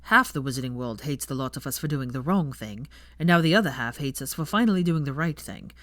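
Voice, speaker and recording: A woman's voice: extremely low pitch, lively intonation, brisk, no room sound. A woman voicing a dry, teasing young wizard man with a mocking, sweary drawl, in a story reading. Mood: wry, resigned.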